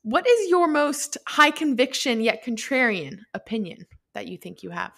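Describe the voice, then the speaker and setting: A woman's voice: a little high, animated, moderate pace, vocal fry, fairly bright tone. A woman hosting an interview, asking questions and giving short encouraging reactions. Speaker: podcast host.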